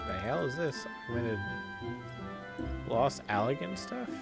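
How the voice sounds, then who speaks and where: A man's voice: mid-range voice, sing-song, slow, creaky. A man streaming a game live, chatting casually and commenting on what he comes across. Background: music.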